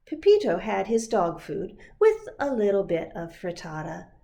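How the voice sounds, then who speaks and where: A woman narrates a children's storybook. Her voice sits at a middling pitch and she takes her time, very unhurried, shaping each sentence expressively and deliberately. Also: huge pitch swings, vocal fry, mellow. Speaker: pastor reading a picture book.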